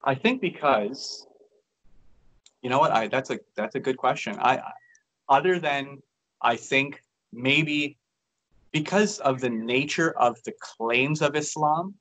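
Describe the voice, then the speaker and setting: A man in his thirties talks openly as a guest on a video call. He speaks at a middle pitch, loose and chatty, restarting his sentences and leaning on fillers. The sound comes through a call from an indoor room. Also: slow pace, pauses often, fairly bright tone, low-quality recording. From Canada. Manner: frank and chatty, many restarts and "you know". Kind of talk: casual interview on a video call. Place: indoors by a large window.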